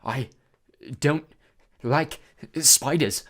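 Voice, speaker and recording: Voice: medium pitch, wildly swooping pitch, fairly quick, voice pushed up. Narrator doing a touchy schoolboy, blunt and defensive, voice jumping up and down, storybook. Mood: tense. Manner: tense, gruff, defensive. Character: jumpy, blunt, hot-tempered schoolboy.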